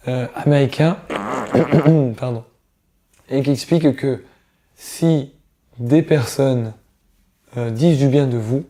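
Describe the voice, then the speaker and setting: Hoarse man coaching viewers, very dark voice. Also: medium pitch. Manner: direct, coaxing, speaks straight to viewers. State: hoarse from illness. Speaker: career coach. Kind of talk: casual advice talk to camera.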